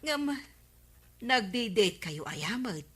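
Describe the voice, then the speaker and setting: A woman's voice: fairly high pitch, very animated, slow pace, husky, full character voice. A woman playing a chatty, inquisitive village friend in an Ilocano radio comedy drama, with an Ilocano accent and a teasing, exclaiming manner.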